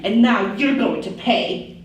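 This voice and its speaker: A woman's voice: medium pitch, slow pace, a little hiss or hum, natural voice. A woman reading the lines of a vengeful young male swordsman hero, earnest and declaiming. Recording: echoey room, distant mic.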